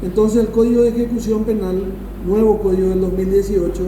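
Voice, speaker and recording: A man's voice: sits high, flat delivery, a little fast, dark tone, recorded from a distance. A man with a Paraguayan accent teaching law in a classroom, explaining didactically with emphatic repetition and questions to his students. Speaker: law professor. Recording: echoey room from afar, noisy.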